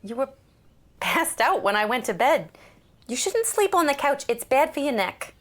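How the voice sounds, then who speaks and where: A breezy female housemate with a fairly high, very lively voice. She is chatty, casual and teasing, in a natural audio drama style. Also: normal speed.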